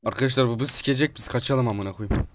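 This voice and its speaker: A man's voice: mid-range voice, racing. Foul-mouthed man gaming over keyboard clicks. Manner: slangy, foul-mouthed, jokey. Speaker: video host playing a roleplay game. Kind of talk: excitable gameplay commentary, casual chat. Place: indoors at a computer, voice over gameplay. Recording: clear background noise, keyboard clicking.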